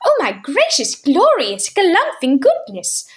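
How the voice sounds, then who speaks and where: A woman voicing a jolly, eccentric older gentleman who sounds merry and bubbly. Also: sits high, big pitch swings, unhurried, pitched above the performer's own voice. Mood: giggly.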